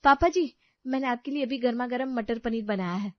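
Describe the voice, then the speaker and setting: A woman playing a gentle, anxious and dutiful young daughter-in-law in a family story, speaking politely and earnestly. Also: a little high, brisk, no room sound, muffled recording, close to an everyday voice. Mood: concerned pleading. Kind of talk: acted dialogue in a Hindi family story.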